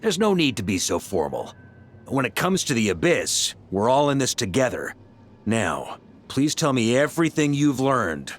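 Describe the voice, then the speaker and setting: An actor playing a confident, easygoing veteran knight grandmaster in a video game, commanding and hearty. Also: male voice, medium pitch, big pitch swings, normal speed, a little hiss or hum, lightly acted. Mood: relaxed, friendly. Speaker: military commander.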